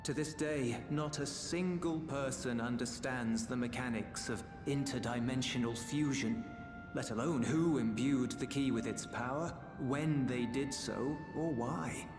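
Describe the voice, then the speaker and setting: Man playing a wise game keeper of knowledge, grave, formal, medium pitch, long echo, prominent music. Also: measured pace, natural voice. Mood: grave, cautioning. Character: solemn, sage male keeper of old knowledge. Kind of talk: dramatic game cutscene acting. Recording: echoey hall reverb, game music.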